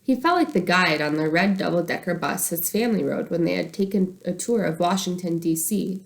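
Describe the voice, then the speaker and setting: Female storybook narrator, expressive, slightly low pitch. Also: fairly quick, vocal fry. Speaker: storybook reader. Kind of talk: expressive scripted narration of a children's book.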